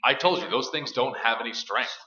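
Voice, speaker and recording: A man's voice: mid-range voice, a little fast, close to an everyday voice. A male actor in a staged radio play, playing a tough, defiant survivor who is blunt and commanding. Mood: firm, defiant. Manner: forceful, challenging, blunt. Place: live stage performance. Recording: echoey, dull live recording, audience noise.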